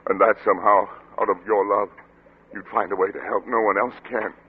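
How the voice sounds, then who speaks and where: Casting: tormented scientist husband losing his grip, dramatic old-radio delivery, low voice, tinny recording. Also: fairly even intonation, breathy edge. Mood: desperate, pleading. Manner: intense, halting, dramatic. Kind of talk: 1940s radio drama acting. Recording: tinny old radio broadcast, music behind.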